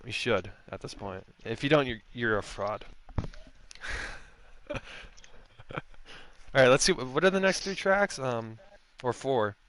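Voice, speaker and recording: A man's voice: mid-range voice, lively intonation, slow pace, long pauses, fairly bright tone. A man hosting a post-race sim racing stream, chatting casually with drivers and joking crudely. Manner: joking, laughing, crude banter.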